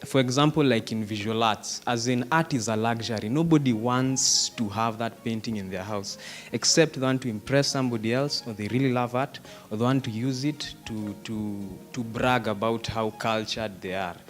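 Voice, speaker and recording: A man's voice: medium pitch, moderate pace, faint music behind. A young man from Kenya giving an impassioned, argumentative comment from the audience at a panel discussion, slipping in Swahili phrases. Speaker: audience member asking a question. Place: theatre hall at a panel discussion.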